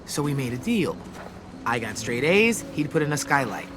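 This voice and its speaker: A man's voice: mid-range voice, big pitch swings, normal speed, background noise, natural voice. A man playing a wisecracking, dramatic teenage boy survivor in a video game, with an American accent and a playfully theatrical delivery. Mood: wistful. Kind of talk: video game voice acting, casual dialogue. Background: background music.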